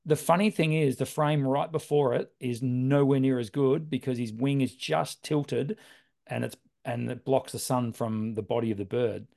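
Croaky Australian man chatting. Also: mid-range voice, fairly even intonation, normal speed, a little mellow. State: hoarse from overuse. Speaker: podcast co-host. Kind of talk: casual chat.

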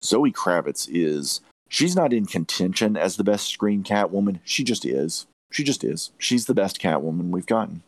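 A low-pitched man speaks as a podcast host in a close, dry recording. He shares opinionated takes on films, leaning on repetition and emphasis to drive his points home. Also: sing-song, moderate pace, few pauses, no room sound. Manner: emphatic, repeats phrases for stress. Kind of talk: opinionated movie review chat.

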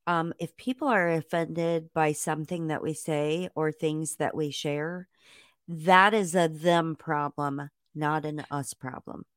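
A woman with a very low, smooth voice hosts a casual podcast. She speaks in a close, dry sound. She answers her guest with steady agreement and frequent murmurs. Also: takes it slowly, dry recording.

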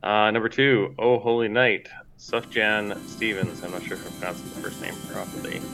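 A man's voice: lowish voice, normal speed, fairly bright tone, faint music behind, poor audio. A man co-hosting a casual podcast, rambling and profane, laughing often. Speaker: podcast co-host. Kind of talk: casual chat.

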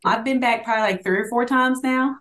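A middle-aged woman from Hawaii, a managing broker, talking as a guest in a casual interview with brisk, affirming replies. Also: fairly high pitch, a bit bright. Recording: echoey room.

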